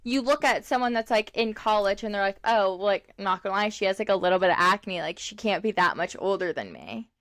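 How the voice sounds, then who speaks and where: Twenties woman co-host, loose and chatty, leans on "like", laughs easily, medium pitch. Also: expressive, fairly quick, hardly any pauses, a bit bright. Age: 20s. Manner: chatty, rambling, heavy use of "like", laughs often. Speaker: podcast co-host. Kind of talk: casual chat.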